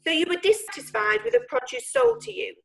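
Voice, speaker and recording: An actress playing a brisk female prosecutor questioning witnesses formally in a period courtroom play. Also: extremely high pitch, vocal fry, fairly bright tone, faint music behind, heavily acted. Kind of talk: theatrical courtroom play acting.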